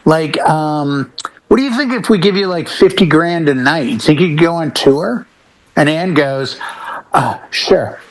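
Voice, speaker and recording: This man speaks in a slightly high register as a panelist in a casual discussion. He is emphatic and opinionated, pressing each point insistently. His stories come with quoted lines acted out along the way. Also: expressive, measured pace, low-quality recording. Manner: acts out imagined quotes as he tells stories. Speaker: podcast panelist.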